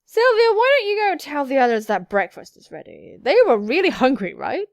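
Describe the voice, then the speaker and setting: A woman's voice: high-pitched, very animated, moderate pace, creaky, no room sound, muffled recording, pitched above the performer's own voice, full character voice. A woman voicing a kindly, apologetic young male furry monster, a big brother in a visual novel game. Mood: polite, coaxing.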